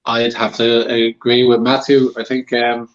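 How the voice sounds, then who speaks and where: A man with a mid-pitched voice chats informally as a guest panelist. The recording is a little dull and lo-fi. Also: monotone, unhurried. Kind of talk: casual film debate chat. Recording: slightly dull lo-fi sound.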